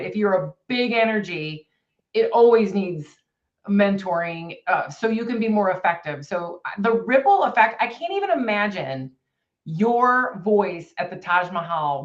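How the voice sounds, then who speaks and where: A woman's voice: medium pitch, muffled recording. A woman hosting an interview, asking long, enthusiastic questions that ramble a little. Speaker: interview host.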